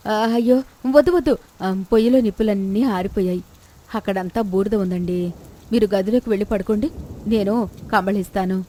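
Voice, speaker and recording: A woman's voice: medium pitch, moderate pace, warm tone, lightly acted. Greedy, scheming village wife, voiced by a woman. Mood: panicked. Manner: scornful, self-pitying complaining.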